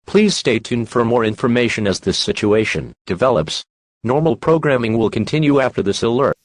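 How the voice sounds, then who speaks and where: A man's voice: medium pitch, expressive, a little fast, close to an everyday voice. A man voicing an impersonal emergency alert announcer, reading scripted civil warnings in a formal, instructive manner. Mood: impersonal, official.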